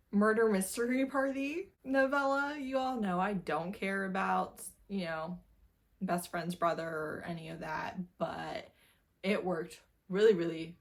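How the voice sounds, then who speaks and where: A woman casually reviewing books as a video host, chatty and informal, leaning on repeated phrases for emphasis. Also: medium pitch. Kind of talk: casual book review chat to camera.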